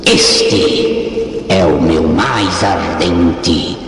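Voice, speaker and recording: A man's voice: sits low, very slow, noisy background, pitched below the performer's own voice. A man with a Brazilian accent voicing a macabre, theatrical gravedigger villain who declaims grandly and tauntingly in an audio horror tale. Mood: grandiose, menacing.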